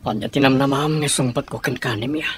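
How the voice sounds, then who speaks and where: A man's voice: medium pitch, fairly quick, a bit bright, breathy edge. An actor playing a heartbroken middle-aged father in an Ilocano radio drama, speaking emotionally and pleadingly with an Ilocano accent. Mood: bitter, hurt.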